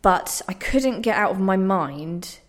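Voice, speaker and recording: A woman's voice: medium pitch, lively intonation, slow. A young woman giving reflective interview answers in a hesitant, self-deprecating, thoughtful way. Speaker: playwright being interviewed.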